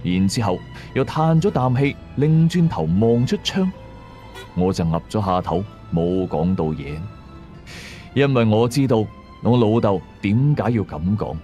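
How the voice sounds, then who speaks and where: A man's voice: on the low side, animated, unhurried, dark tone, muffled recording. A man with a Cantonese accent narrating a scripted first-person ghost story. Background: faint music.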